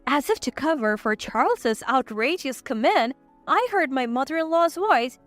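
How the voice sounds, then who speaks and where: A woman's voice: high-pitched, lively intonation, moderate pace, soft background music. A woman reading a scripted first-person story as narrator, in a dramatic, emotive way.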